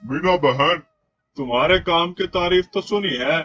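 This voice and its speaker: A man voicing a wealthy, self-assured village gentleman with a measured, patronly delivery in a moral story. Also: fairly high pitch, dull audio. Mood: impressed.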